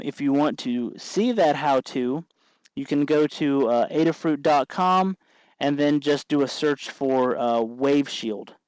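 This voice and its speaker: A man hosting a casual hands-on tutorial, explaining in a halting way as he works. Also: mid-range voice, a little mellow, smooth, no echo, rough recording. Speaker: host of a DIY electronics tutorial.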